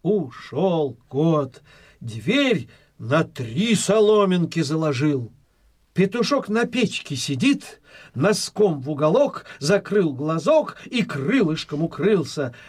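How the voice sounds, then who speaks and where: A man narrating a folk tale as storyteller, in an expressive, dramatized way. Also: a little high, takes it slowly, breathy edge, no room sound, studio quality.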